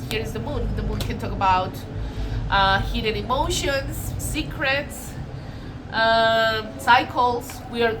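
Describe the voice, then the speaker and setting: A woman with a Colombian Spanish accent talks through a tarot spread in a loose, chatty way, restarting words and circling back as she goes. Her voice sits a bit high. The recording is noisy, made at home on a built-in device mic. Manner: chatty, self-correcting, spiritual. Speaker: tarot reader. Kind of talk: casual tarot reading. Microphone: built-in device mic, no external microphone.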